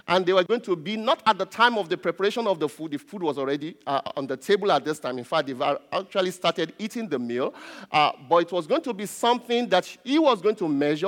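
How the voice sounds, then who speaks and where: A man with a Nigerian accent, a native Yoruba speaker, preaches a sermon as a pastor in a deliberate way, repeating key phrases. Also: sits high. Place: large reverberant indoor church space. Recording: reverberant hall.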